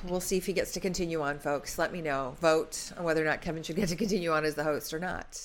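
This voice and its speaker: A woman's voice: low-pitched, steady tone, quick pace. A woman hosting an interview with a nasal, stuffy voice from a cold, playful and bantering. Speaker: host.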